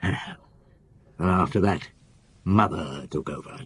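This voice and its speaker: An actor playing a weary, probing middle-aged police chief inspector in a radio drama, deliberate and authoritative, with a husky voice. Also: male voice, deep voice, exaggerated voice. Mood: probing, scornful. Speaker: lead actor and narrator in a radio detective drama.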